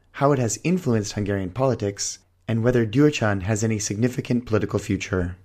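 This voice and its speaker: A man hosting a politics podcast, reading a scripted intro with a hoarse voice, then interviewing a guest. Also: fairly low pitch. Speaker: podcast host.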